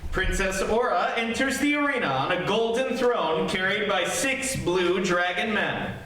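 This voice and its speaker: A man's voice: sits high, animated, measured pace, bright, forceful tone, exaggerated voice. A man narrating an old-time radio serial in a dramatic announcer manner. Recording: distant, very echoey hall, faint noise.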